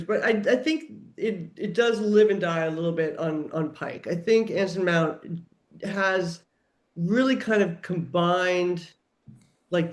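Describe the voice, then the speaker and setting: A woman chatting casually on a podcast, enthusiastic and emphatic, with a conversational manner full of "like". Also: fairly low pitch. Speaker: guest TV writer and producer.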